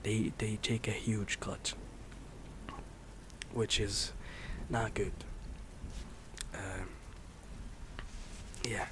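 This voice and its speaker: A man in his late twenties, a streamer rambling in a soft-spoken, candid way, swearing casually and slipping into whispers. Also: lowish voice, level tone, very slow, long pauses, background noise. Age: 20s. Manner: candid, swears casually, many fillers and restarts; drifts between soft speech and whisper.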